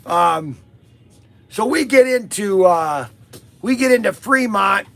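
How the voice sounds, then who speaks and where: A man hosting a casual live podcast, hoarse from losing his voice, rambling through a story with many fillers and restarts. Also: sits high, expressive, takes it slowly, a bit bright. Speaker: host of a toy business live podcast.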